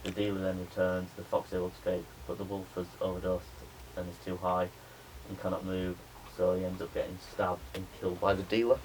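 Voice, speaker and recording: A young adult man, a media student giving a spoken pitch presentation to a class. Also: monotone, fairly quick, hardly any pauses, darkish tone. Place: indoor room with a small audience. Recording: noisy room recording.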